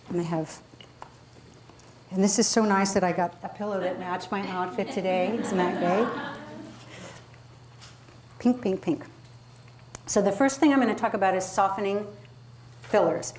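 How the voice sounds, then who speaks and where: A woman's voice: medium pitch, a little mellow. A woman leading an interactive workshop as a public speaking coach, talking emphatically and conversationally and putting questions to her audience. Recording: live room recording with clear background noise.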